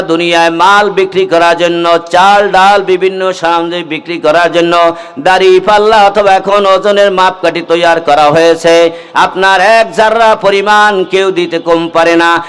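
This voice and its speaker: A man's voice: sits high, fairly bright tone, smooth. A man with a Bangladeshi accent preaching an Islamic sermon, exhorting his listeners and moving between speech and melodic chanting. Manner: exhortative, calls on listeners to respond. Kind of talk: waz sermon to a gathering, with melodic chanting of verses and rhymes.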